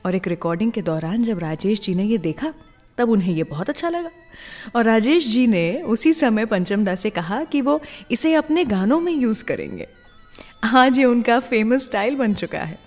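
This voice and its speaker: A woman's voice: fairly high pitch, expressive, normal speed, darkish tone. A woman presenting a music radio show, speaking conversationally and directly to her listeners. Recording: long reverb, music playing behind. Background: background music.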